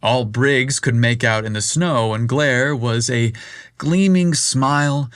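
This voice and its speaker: Slow, measured male story narrator. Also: medium pitch, a bit bright, clear, resonant tone, dry recording, studio quality.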